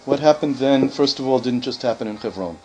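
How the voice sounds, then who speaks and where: A man's voice: medium pitch, a little fast, muffled recording, crowd noise. A man speaking for a community, answering a visiting group's questions in a conversational way, with many fillers and self-corrections.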